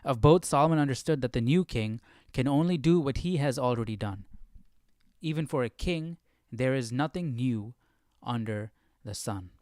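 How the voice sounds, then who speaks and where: Smooth-voiced man in casual study talk. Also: mid-range voice, moderate pace, darkish tone, dry recording, studio quality. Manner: conversational, often checking in with "right?". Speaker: host of a livestream Bible study. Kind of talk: casual study talk, reading and commenting aloud.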